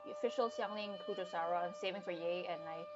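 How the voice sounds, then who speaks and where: A woman's voice: on the low side, fast, highs cut off. Chatty woman streamer over game music. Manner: chatty, reacts aloud to game and chat. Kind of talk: casual live game commentary.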